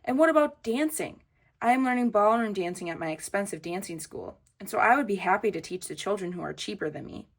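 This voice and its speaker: A woman's voice: medium pitch, lively intonation, fairly quick, creaky, slightly rough. A woman puts on a rich little schoolgirl in a children's storybook. She is snobbish and showy, with a haughty, boastful way of talking.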